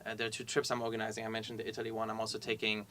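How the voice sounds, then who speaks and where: The bright-toned young man from Iran on a student panel, working out his answer as he talks to prospective applicants. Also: on the low side, completely flat delivery, very fast, slight background noise. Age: young adult. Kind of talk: conversational Q&A answers.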